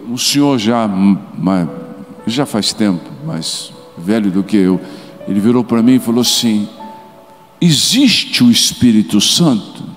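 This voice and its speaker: A middle-aged man with a Brazilian Portuguese accent preaching a sermon and telling a story, moving between quiet asides and sudden loud exclamations. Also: on the low side. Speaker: pastor. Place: large church auditorium. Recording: live church sound with long reverb and faint music.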